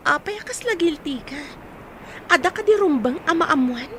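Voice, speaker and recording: A woman playing a high-voiced, insistent young Ilocano investigator. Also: lively intonation, normal speed, a bit bright, a little hiss or hum. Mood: accusing, pressing. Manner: probing, insistent questioning. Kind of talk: Ilocano radio drama acting.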